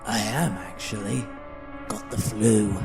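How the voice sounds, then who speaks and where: A man's voice: lowish voice, steady tone, slow, over music. A man playing a callous, taunting villain in an audio drama, flippant about cruelty. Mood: gloating. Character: callous, sneering male villain relishing cruelty.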